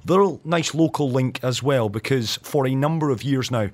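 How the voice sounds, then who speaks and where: A man's voice: medium pitch, moderate pace, darkish tone. A man with a Scottish accent hosting a radio sports show, upbeat and enthusiastic as he interviews a guest. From Scotland.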